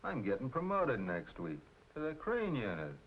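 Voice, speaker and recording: An actor playing a cocky, surly working-class grown son in an old-time radio drama, young and blunt, with a needling, slangy delivery. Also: male voice, medium pitch, huge pitch swings, moderate pace. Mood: surly, mocking. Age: young adult. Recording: tinny, hissy old radio recording.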